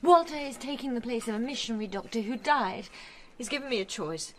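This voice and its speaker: A woman's voice: a little high, brisk, a bit bright, a little hiss or hum, lightly acted. An actress playing a desperate, wounded married woman in a radio drama, speaking with emotional intensity to her lover. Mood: fearful, pleading.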